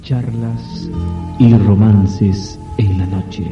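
A man reads a scripted radio programme introduction in a formal, measured way. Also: lowish voice, fairly even intonation. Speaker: radio announcer. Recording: dull old radio recording under music. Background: prominent New-age music.

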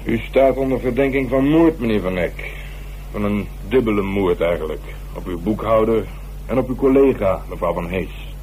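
An actor playing a cynical, hard-boiled police inspector in a radio drama, talking in a wry, mocking, colloquial way. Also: male voice, lowish voice, normal speed, a little mellow, lightly acted. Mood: stern. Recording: humming, noisy radio play recording.

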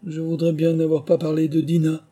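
A man's voice: on the high side, moderate pace, warm tone, breathy edge, pitched above the performer's own voice. A man voicing a polite, naive little girl in a storybook, earnest and eager to please. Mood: wistful. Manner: earnest, conciliatory.

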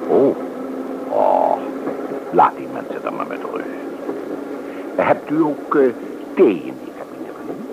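An actor playing a genial, self-assured titled gentleman in a radio drama, speaking with courtly formality. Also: extremely low pitch, wildly swooping pitch, measured pace, creaky, long pauses, narrow, tinny audio, full character voice. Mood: tired, curious. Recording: noisy old radio play recording.

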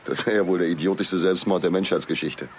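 A man's voice: low-pitched, fast, a little hiss or hum, close to an everyday voice. A man playing a sardonic police detective in a radio drama.

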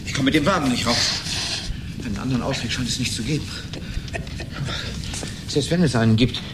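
A man's voice: medium pitch, brisk, heavy background noise, natural voice. An actor playing a proud, scornful middle-aged man in a German radio drama, with a curt, biting way of talking. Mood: angry, defiant.

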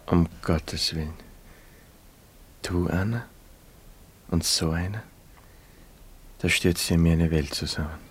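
Very deep, husky, wheedling womaniser. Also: fairly even intonation, slow pace, pauses often, heavily acted. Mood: indignant. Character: self-pitying, cynical womaniser and political comrade. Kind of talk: radio drama acting.

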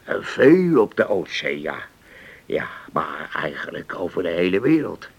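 Radio play role: genial old seafarer, unhurried, expressive swings, reminiscing with hesitant repeats. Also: male voice, sits low, gravelly, slightly rough, highs cut off, heavily acted. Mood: musing, contented. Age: older. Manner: hesitant, musing, repeats words. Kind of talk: radio drama acting.

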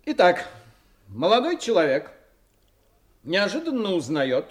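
A man's voice: sits high, wildly swooping pitch, measured pace, full character voice. A male actor playing a self-assured, accusing police inspector in a radio play, with an emphatic, declamatory delivery. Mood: confident, expounding. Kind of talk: Russian radio play acting.

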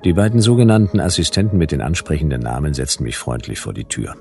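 Deep dry detective narrator, wry and laconic, radio crime play, faint music behind. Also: male voice, quick pace, gravelly, mellow. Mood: wry, matter-of-fact. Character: laconic, wry male private detective narrating his case. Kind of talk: hard-boiled narration in German radio play.

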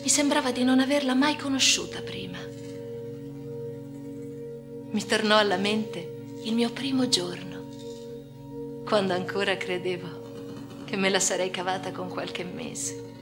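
A woman's voice: medium pitch, steady tone, measured pace, lightly acted. An actress playing a haunted woman patient in a first-person radio drama monologue, speaking intimately and often dropping toward a whisper. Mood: haunted, recollecting. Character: haunted woman patient recounting institutional life. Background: music.

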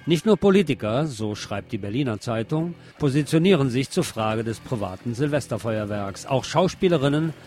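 A man's voice: medium pitch, animated, normal speed, few pauses, darkish tone. Male news commentator over music. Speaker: host and presenter of a news commentary show.

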